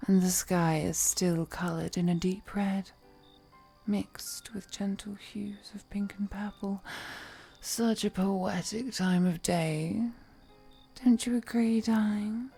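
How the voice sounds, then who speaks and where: Woman acting as ancient vampire noblewoman, super slow seductive murmur, breathy and half whispered, flirty predator, music behind her. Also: mid-range voice, dark tone, exaggerated voice. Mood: dreamy, admiring. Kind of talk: intimate audio roleplay acting.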